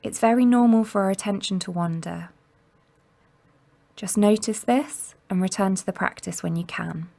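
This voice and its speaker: A woman leads a guided mindfulness meditation in a soothing, gentle way. Her mid-range voice often settles into a creaky fry. She gives each instruction without hurry and leaves generous pauses. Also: mid-range voice. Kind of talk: guided body scan meditation narration.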